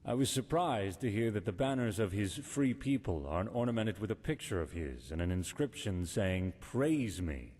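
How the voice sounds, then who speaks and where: A dark-voiced sardonic fantasy councillor. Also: male voice, very animated, moderate pace, few pauses. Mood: dry, scornful. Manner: dry, sarcastic, articulate. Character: cynical, sardonic male member of a holy order. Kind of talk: cinematic fantasy game voice acting. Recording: reverberant hall, faint rumbling noise.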